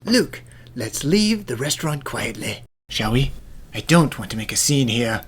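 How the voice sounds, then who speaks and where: A woman voicing a confident, brisk young lady detective in an animated fan dub, theatrical and self-assured. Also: a bass voice, slightly rough, full character voice. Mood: composed.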